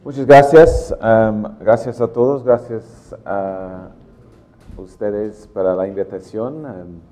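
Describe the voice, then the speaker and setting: Man speaking halting Spanish with an English accent. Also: fairly low pitch, warm tone. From England. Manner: halting Spanish with many "em" fillers. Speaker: guest speaker. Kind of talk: technical lecture.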